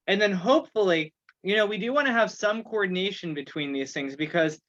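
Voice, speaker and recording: Higher-voiced man, muffled dry call audio. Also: expressive, a little fast, fairly bright tone, dry recording. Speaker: meeting host and organizer. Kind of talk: informal online meeting talk. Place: online conference call.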